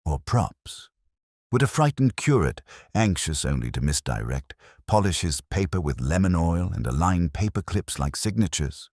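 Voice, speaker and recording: Man reading as a calm, very deep police inspector. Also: no echo, clean studio sound, heavily acted. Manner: calm, measured, philosophical. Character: calm, unhurried male police inspector, gentle and weighty. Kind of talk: mystery audiobook character dialogue.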